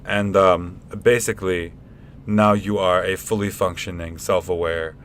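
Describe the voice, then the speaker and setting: A man playing a deadpan, casual, slightly self-important guy who explains haltingly in a comic radio play. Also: low-pitched, slight background noise. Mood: deadpan, lecturing.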